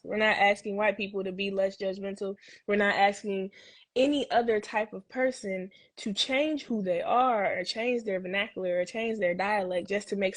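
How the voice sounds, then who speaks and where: A woman's voice: medium pitch, dry recording. A woman guest on a talk show, speaking emphatically and repeating phrases to drive her point home. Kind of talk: casual discussion.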